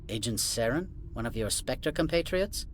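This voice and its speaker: An actor playing a haughty alien corporate administrator in a video game, condescending, formal and clipped, dismissive of outsiders. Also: male voice, mid-range voice, animated, moderate pace, slight background noise, close to an everyday voice. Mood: guarded, formal. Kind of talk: video game cutscene acting.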